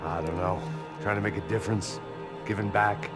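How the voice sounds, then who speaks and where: An actor playing a middle-aged American ex-cop turned vengeful gunman in a video game, low, world-weary and sardonic in a noir style. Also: normal speed, close to an everyday voice. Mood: dry, sardonic. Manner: sardonic, deadpan. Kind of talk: scripted noir narration and game dialogue. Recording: loud music under the voice.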